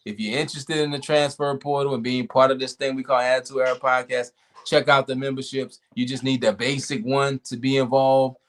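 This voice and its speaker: Easygoing male podcast host, a bit hoarse. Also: mid-range voice, brisk. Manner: laid-back, relaxed sign-off talk. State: losing his voice. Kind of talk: casual podcast chat.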